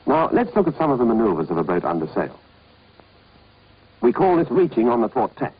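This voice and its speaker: A man's voice: medium pitch, very animated, brisk, breathy edge. A British man narrating an instructional training film in a clipped, scripted, instructive manner. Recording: dull, band-limited old film soundtrack.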